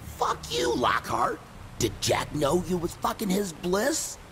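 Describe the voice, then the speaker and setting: A defiant street junkie from a noir game, high and wildly varied in pitch. He is shouty, jumpy and foul-mouthed, dragging out his words. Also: huge pitch swings, slow pace, a little hiss or hum, full character voice. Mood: spiteful, defiant. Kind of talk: film noir motion-comic game acting.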